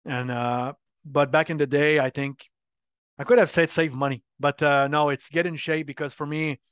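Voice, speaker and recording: A middle-aged French Canadian man, a native French speaker, answering interview questions in a candid, animated way. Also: fairly high pitch, normal speed, clean tone, dry recording, clean studio sound. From Quebec, Canada. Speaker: guest, brand marketing head.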